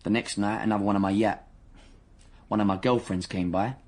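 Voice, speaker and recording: An actor playing a cocky, defensive young gang member under interrogation in a radio drama, speaking indignant street slang. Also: male voice, lowish voice, steady tone, fairly quick, darkish tone, natural voice. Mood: bragging, irritated. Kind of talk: naturalistic radio drama dialogue.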